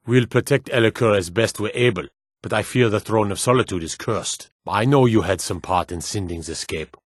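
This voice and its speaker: A man's voice: fairly low pitch, husky, no echo, clean studio sound. An actor playing a wary, plainspoken male city guard in a fantasy video game, with a stern, folksy way of talking. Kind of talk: scripted fantasy game dialogue.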